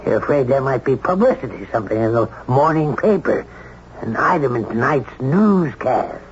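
An actor playing a brash, domineering old gentleman who needles and pries in a 1970s radio drama. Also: medium pitch, expressive, normal speed, lightly acted. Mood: domineering, needling. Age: older. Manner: blunt, needling, insistent. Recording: muffled, noisy 1970s radio recording.

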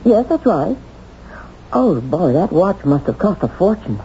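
An actress playing a guarded yet chatty young office worker in a 1970s radio drama, conversational and hesitant. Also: female voice, on the low side, big pitch swings, a little slow, mellow. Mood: chatty, uneasy. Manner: conversational, hesitant, emphatic exclamations. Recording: dull, faintly noisy old radio recording.

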